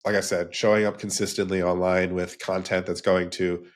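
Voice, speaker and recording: A man's voice: low voice, little pitch movement, moderate pace. A man with a cold, a bit nasal, speaking casually as a podcast guest, explaining his branding business in long rambling runs. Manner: rambling, restarts, lists in threes. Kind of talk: casual interview talk.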